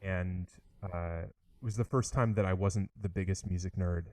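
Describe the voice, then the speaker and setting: A man's voice: low voice, fairly even intonation, normal speed, darkish tone, no echo. A young man, a trombonist, chatting eagerly in an interview, with lots of likes and restarts. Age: young adult. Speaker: orchestral trombonist, interview guest. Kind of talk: casual interview conversation.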